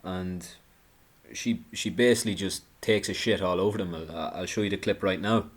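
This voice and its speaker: Man, deep voice, sarcastic commentator delivering an indignant monologue with stumbles and restarts. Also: fairly even intonation, normal speed. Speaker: political commentator.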